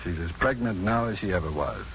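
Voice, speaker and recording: An actor playing a self-assured, compassionate physician with a measured, dryly witty delivery in a 1950s radio drama. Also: male voice, sits low, expressive. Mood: earnest. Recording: muffled, hissy 1950s radio recording.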